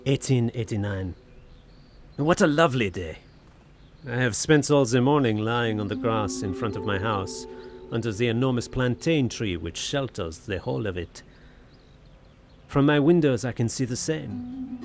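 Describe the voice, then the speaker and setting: A man's voice: medium pitch, vocal fry. An actor playing a genteel, poetic Frenchman of the 1880s in an audio drama, speaking in a florid, theatrical manner. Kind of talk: audio drama acting, diary narration and dialogue. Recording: music behind the voice.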